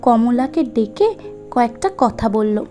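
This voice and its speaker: A woman's voice: fairly high pitch, a little slow, dark tone, faint music behind. A woman narrating a scripted cartoon folk story as the storyteller.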